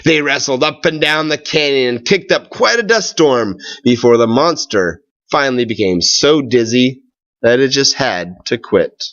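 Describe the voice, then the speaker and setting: A man reading a storybook aloud with expressive, deliberate, dramatic phrasing. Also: medium pitch, highs cut off.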